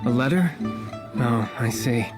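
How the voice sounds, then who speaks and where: A deferential guild steward in a video game, played by a man, gracious and formal. His voice is medium in pitch and courteous, with clear music playing behind it. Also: expressive, unhurried, natural voice. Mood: polite, welcoming. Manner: polite, formal.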